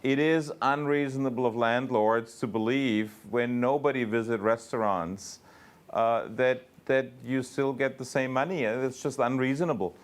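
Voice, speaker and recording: A man speaking as a company executive, answering investor questions off the cuff in a halting, emphatic way with repeated words. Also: medium pitch, steady tone. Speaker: company executive on an earnings call.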